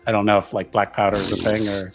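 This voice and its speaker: A man taking part in a tabletop roleplaying game, casually improvising what his character does and thinking aloud in a halting, jokey way. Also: fairly low pitch, level tone, vocal fry, faint music behind.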